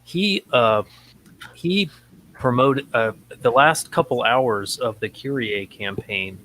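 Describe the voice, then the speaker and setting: A man co-hosting a casual, unscripted talk show, speaking in a halting way with frequent ums and pauses. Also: mid-range voice, fairly bright tone, slight background noise, rough recording.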